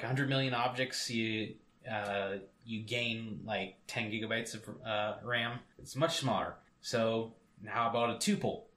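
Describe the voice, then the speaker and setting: A man's voice: medium pitch, a bit bright, roomy sound. A man co-hosting a casual tech podcast, rambling with many fillers. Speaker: podcast co-host.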